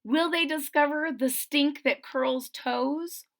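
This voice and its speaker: A woman's voice: sits high, slow pace, creaky. A woman reading a rhyming children's picture book aloud, with chatty asides to her listeners. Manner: expressive, chatty asides to listeners between rhymes. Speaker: read-aloud storyteller.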